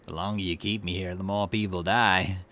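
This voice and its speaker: A man's voice: low-pitched, fairly even intonation, a little fast, bright, forceful tone. A man voicing a sly, menacing criminal in a visual novel, with a smug, taunting drawl.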